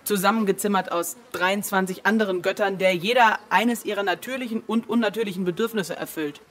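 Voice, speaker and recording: An actress in a radio play voicing a female digital device, measured, reflective and a little detached. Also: mid-range voice, lightly acted. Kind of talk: German radio play acting.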